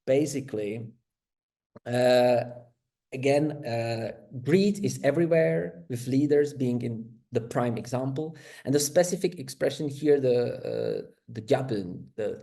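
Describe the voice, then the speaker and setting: A man whose first language is Czech, giving an academic talk in slow, hesitant speech full of fillers and restarts. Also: mid-range voice, vocal fry, dark tone. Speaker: lecturer.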